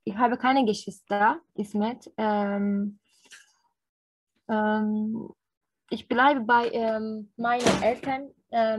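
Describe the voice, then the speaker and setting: A woman in her twenties with a Turkish accent, practicing a German speaking exam, pausing often with fillers. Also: a little high, dry recording, rough recording. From Turkey. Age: 20s. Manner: hesitant, many fillers. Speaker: nurse and language learner. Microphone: video call.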